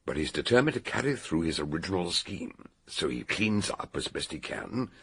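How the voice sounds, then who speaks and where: An eccentric old British detective from a radio mystery. His voice is deep and thoroughly rough, full of fry and husk. He explains deliberately and theatrically, with lively rises and falls. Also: full character voice. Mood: dramatic, relishing. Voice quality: gravelly, husky. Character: eccentric older male detective expounding a murder solution. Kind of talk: radio detective drama acting.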